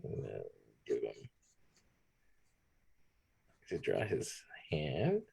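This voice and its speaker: A man leading a drawing demonstration, talking in a relaxed way as he draws. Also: extremely low pitch, drawn-out words, creaky, husky. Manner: relaxed, talks while drawing. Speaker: art instructor. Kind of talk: drawing demonstration, thinking aloud.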